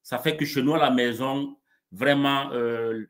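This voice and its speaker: A man, a coach being interviewed live over a video call, speaking in a warm, measured way with frequent restarts. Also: mid-range voice, steady tone. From Cameroon. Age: 40s. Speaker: coach and guest.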